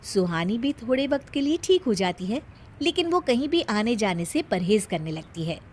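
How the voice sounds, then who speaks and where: A woman's voice: a little high, very animated, normal speed, darkish tone, a little hiss or hum. A woman narrating a scripted cartoon story as the storyteller.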